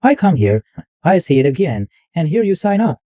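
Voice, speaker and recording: A man hosting a screen-recorded software tutorial, narrating every click step by step in loose, repetitive wording. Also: a little high, very animated, normal speed, no room sound. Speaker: tutorial host. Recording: dull lo-fi dry mic sound.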